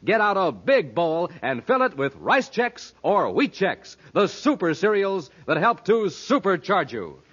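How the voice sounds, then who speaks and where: A man's voice: sits high, very animated, unhurried, few pauses, fairly bright tone, a little hiss or hum. A man announcing in 1950s radio style, folksy and emphatic like an enthusiastic salesman. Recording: muffled old radio recording.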